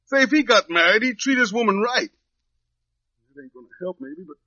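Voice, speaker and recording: Jailed drifter, pleading, resigned, unschooled folksy speech, bright tense tone, dry dull vintage radio sound. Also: male voice, high voice, lively intonation, moderate pace, no echo, exaggerated voice. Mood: earnest. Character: despairing wrongly jailed young drifter, pleading, plain-spoken. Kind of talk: 1950s radio drama acting. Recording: dull lo-fi old radio recording.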